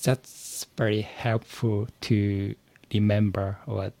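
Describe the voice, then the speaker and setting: A man with a Japanese accent, a native Japanese speaker, giving careful, halting interview answers in English as a podcast guest and learner. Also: lowish voice, level tone, creaky, dark tone, dry recording. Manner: hesitant, searching for words, halting phrases.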